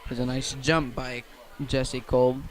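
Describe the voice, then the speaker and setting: A man with an American accent calls a football game live for radio. His pitch stays fairly flat as he follows the action. He is a little halting, repeating and correcting himself as he goes. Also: a faint crowd. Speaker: play-by-play commentator. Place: outdoors at a football field.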